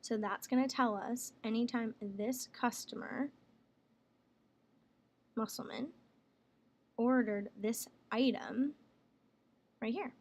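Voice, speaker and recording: A woman working as a software trainer, explaining each step plainly in a casual screen tutorial. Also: fairly high pitch, takes it slowly, long pauses. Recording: clear background noise, room ambience.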